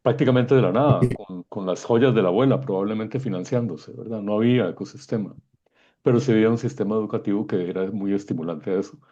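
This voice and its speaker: Husky, dark-voiced older man, muffled sound. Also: fairly low pitch, fast. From Guatemala. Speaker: event moderator. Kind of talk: informal spoken commentary. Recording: dull, muffled lo-fi connection.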